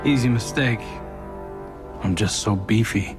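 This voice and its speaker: A man's voice: lowish voice, a little slow, natural voice. Actor as a joking male comrade, music behind. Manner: jokey, familiar. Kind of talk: cinematic game voice acting.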